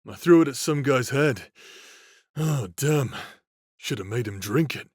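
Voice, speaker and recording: A man's voice: mid-range voice, lively intonation, measured pace, a little mellow, husky, dry recording, studio quality. A man playing a flippant, casually sadistic demon bounty hunter in an anime-style audio roleplay, lazily drawling his lines. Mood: nonchalant.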